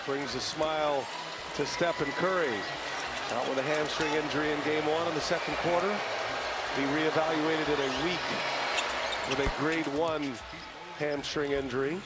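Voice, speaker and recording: High-voiced male basketball play-by-play commentator. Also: steady tone, normal speed, pauses often. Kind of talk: live sports commentary. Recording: loud arena noise and ball bounces.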